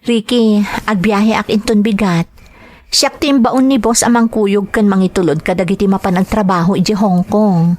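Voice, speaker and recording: A woman's voice: medium pitch, steady tone, moderate pace, a little mellow, close to an everyday voice. A woman playing an evasive working wife in an audio drama, with a hesitant, casual way of talking. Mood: matter-of-fact. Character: evasive working wife who often travels abroad. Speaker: voice actor in a drama. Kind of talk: Ilocano audio drama acting.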